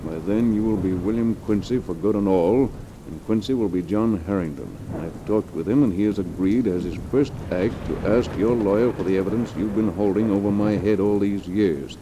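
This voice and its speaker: An actor playing a cold, smug killer with a stolen identity in an old radio drama, smooth and coolly menacing. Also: male voice, on the low side, moderate pace, background noise, natural voice. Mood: puzzled, brooding. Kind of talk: 1950s radio drama acting. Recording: old radio recording under rain and thunder.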